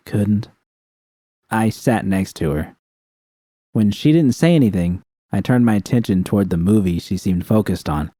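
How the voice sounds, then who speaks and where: A man's voice: lowish voice, warm tone, no echo, clean studio sound. A man reading scripted first-person fiction as an audiobook narrator.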